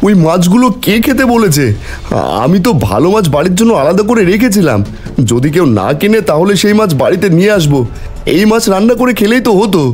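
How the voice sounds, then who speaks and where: A greedy, scheming village fish seller from a cartoon, voiced by a man. He is excitable and exclaiming, with very lively pitch over faint music. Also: fast. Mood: panicked. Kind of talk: cartoon voice acting.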